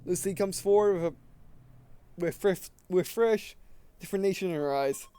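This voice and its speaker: A man's voice: high voice, normal speed, dark tone, clear, resonant tone, no echo. A man hosting a gaming video, reading visual novel text aloud with casual commentary and often stumbling and rereading phrases.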